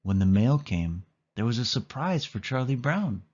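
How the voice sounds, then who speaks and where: A man's voice: medium pitch, big pitch swings, moderate pace, darkish tone. Male storybook narrator, slightly dull audio. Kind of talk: children's read-aloud scripted narration.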